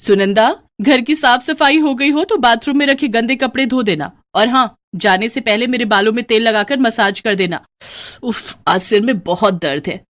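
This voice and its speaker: A woman's voice: a little high, moderate pace, fairly bright tone, voice pushed up, close to an everyday voice. A woman voicing a pampered, demanding lady of the house who complains in a whiny tone.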